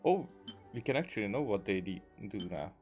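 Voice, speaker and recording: A man with a fairly low voice talks through a video game casually. He is hesitant and halting, thinking out loud as he plays. Game music plays clearly behind him. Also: big pitch swings. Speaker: gaming video creator. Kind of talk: casual gameplay commentary.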